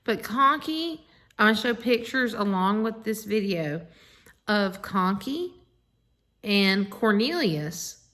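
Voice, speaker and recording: A woman's voice: mid-range voice, animated, slow, a bit bright. A woman chatting casually as a co-host. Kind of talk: casual chat.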